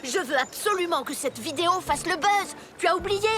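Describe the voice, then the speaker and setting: A woman voicing an excitable, stubborn boy wizard in a children's cartoon dub. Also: extremely high pitch, normal speed. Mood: eager, confident. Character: excitable, stubborn boy wizard making his magic film. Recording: loud music under the voice.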